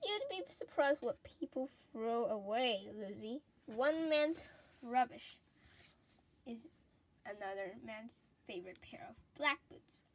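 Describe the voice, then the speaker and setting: A woman reads as a kindly, philosophical dad from a children's book. The words come slowly and gently, reassuring, in a high-pitched voice. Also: expressive, heavily acted, poor audio. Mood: wry.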